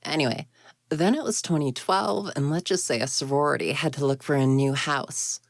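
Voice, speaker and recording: Woman acting a low-voiced, huffy tsundere ghost girl. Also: normal speed, gravelly, dry recording, clean studio sound. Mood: smug, boastful. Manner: huffy, indignant, flustered stammers, conversational. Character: grumpy, proud young female ghost, tsundere, secretly lonely. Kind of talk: intimate roleplay audio drama, one side of a conversation.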